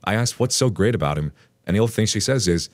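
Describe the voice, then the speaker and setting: A man reading an online post aloud as a storytelling narrator, in an expressive read. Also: fairly low pitch, quick pace, no echo.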